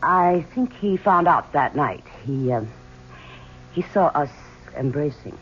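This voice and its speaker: A woman's voice: low voice, animated, takes it slowly. An actress playing the cool, sardonic mistress of a murdered rich man, answering with evasive ease. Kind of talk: 1970s radio drama acting. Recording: dull old radio recording with faint hiss.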